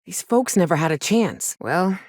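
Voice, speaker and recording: An actress voicing a wry, plain-spoken frontier huntress with a folksy, sardonic manner in a video game. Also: female voice, lowish voice, animated, normal speed, a little mellow, dry recording, studio quality. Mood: grim, resolute. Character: gruff, wry frontier woman, monster hunter and loyal companion. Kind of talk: video game companion dialogue.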